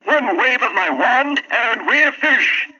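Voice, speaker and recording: An actor playing an elderly, eccentric, bossy but kindly wizard tutor, husky, theatrical and pompous, in a 1960s children's story recording. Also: male voice, very high-pitched, lively intonation, takes it slowly, bright, forceful tone, lo-fi sound, full character voice. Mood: encouraging.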